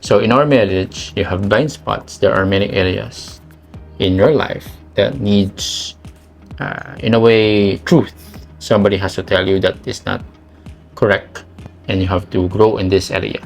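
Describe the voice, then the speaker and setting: Filipino guy co-hosting a podcast, deep voice, reflective taglish chat, faint music under it. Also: a little slow, vocal fry. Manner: mixes English with Tagalog. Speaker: podcast co-host. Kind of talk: casual reflective talk with faith-based advice.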